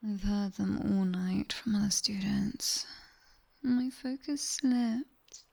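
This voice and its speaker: A sharp-tongued nightmare demoness tutor with a British accent, played by a woman, whispers in a soft, dark ASMR roleplay. Her delivery is very slow and deliberate, teasing yet commanding. The voice is breathy, with a little creak at its edges, and sits close to a binaural microphone. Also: mid-range voice. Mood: dryly teasing.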